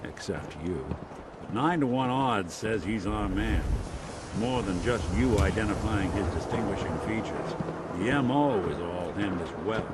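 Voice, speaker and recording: A man's voice: on the low side, lively intonation, measured pace, heavy background noise. An actor playing a wry, streetwise middle-aged male detective in a video game, with clipped noir patter. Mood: grim, wry.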